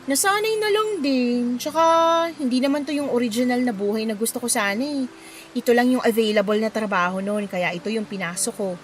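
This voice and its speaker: A woman's voice: a little high, brisk, close to an everyday voice. A woman playing a shy, giggly young hotel receptionist in a romantic audio drama, with background noise. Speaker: voice actress in a story dramatization. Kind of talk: acted romantic radio drama dialogue.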